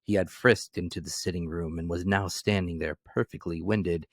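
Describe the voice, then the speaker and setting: Low-voiced man, theatrical story narrator. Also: moderate pace, no echo. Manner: theatrical, expressive. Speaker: narrator, reader. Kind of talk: dramatic scripted story narration.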